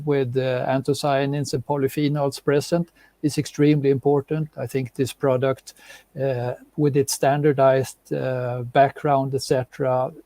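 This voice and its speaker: A man with a heavy Swedish accent, a guest expert speaking in a webinar in a halting, measured way, often repeating words. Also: medium pitch, dark tone, no echo. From Sweden. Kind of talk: webinar discussion.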